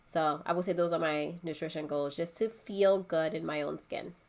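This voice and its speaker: A woman from Haiti talks in a relaxed group chat with a slightly low voice. She is pregnant, chatty and laughs easily. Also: normal speed. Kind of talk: casual chat.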